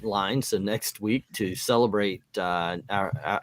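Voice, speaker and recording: A man's voice: lowish voice, a little slow, slight background noise. A man speaking casually as host of a comic-book show, with a joking manner. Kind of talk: casual group chat.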